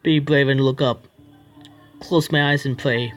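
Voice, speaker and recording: A man streaming a horror visual novel, reading its text aloud haltingly, stumbling over words and breaking off to complain at the game. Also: medium pitch, steady tone, soft background music. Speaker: gaming streamer.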